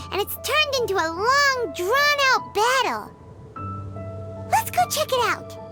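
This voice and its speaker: A woman voicing a tiny, excitable, childlike fairy companion with a squeaky voice in an anime video game. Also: heavily acted. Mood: curious, puzzled. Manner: exclamatory, talks of herself in third person.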